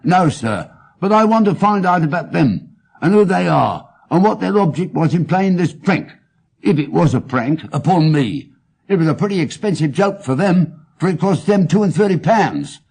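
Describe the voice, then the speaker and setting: Man voicing an aggrieved English tradesman, high-pitched, swooping. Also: very animated, normal speed, gravelly, darkish tone, husky, full character voice. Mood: indignant. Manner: plaintive, emphatic, deferential. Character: aggrieved, simple male London tradesman, earnest and indignant. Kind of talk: dramatic audiobook character reading.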